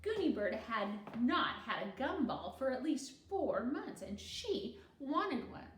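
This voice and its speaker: A woman voicing a self-assured young schoolgirl storyteller in an emphatic, deliberate, instructive way for a storybook. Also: sits high, sing-song, echoey room, recorded from a distance, a little hiss or hum, heavily acted. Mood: theatrical storytelling.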